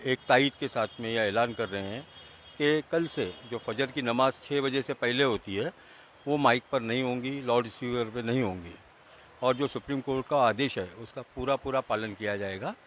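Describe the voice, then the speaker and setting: A man's voice: medium pitch, moderate pace, fairly bright tone, a little hiss or hum. A man speaking as a religious community spokesman, delivering a formal announcement.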